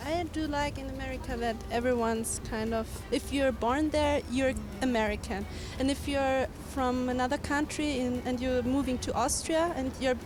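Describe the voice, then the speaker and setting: A woman's voice: high-pitched, fairly even intonation, normal speed, background noise. A woman answering questions in a street interview, speaking thoughtfully.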